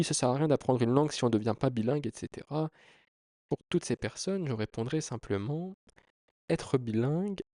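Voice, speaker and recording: A man's voice: medium pitch, creaky, mellow, no echo, studio quality. A man speaking as a video creator, explaining informally to viewers in an earnest, persuasive way with emphatic phrasing. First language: French. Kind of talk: informal explanatory talk to camera.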